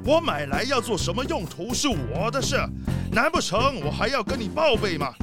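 A rich leopard boss for a children's audio drama. He is high-voiced and swoops boldly in pitch, blustering and brushing everyone off with irritable pride. Music plays behind him. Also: big pitch swings, normal speed. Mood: irritated. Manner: blustering, brusque, dismissive.

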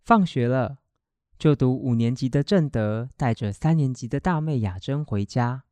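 A man's voice: mid-range voice, expressive, moderate pace, dark tone, clear, resonant tone, no room sound. A man narrating a family radio drama as scripted storytelling.

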